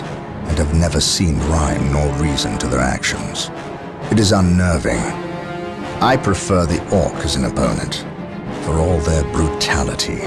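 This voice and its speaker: Very deep, stern warrior captain. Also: male voice, full character voice. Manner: solemn, formal, commanding. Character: stern, zealous armored space marine captain. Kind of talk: dramatic video game voice acting.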